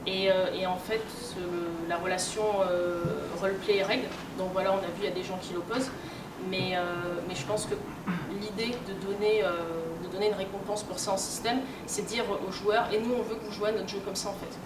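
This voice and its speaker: Woman, conference speaker, hesitant and conversational, mid pitch, recorded far off in a noisy room. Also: steady tone, a little fast. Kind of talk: informal lecture to an audience. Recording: echoey room, distant mic, clear noise.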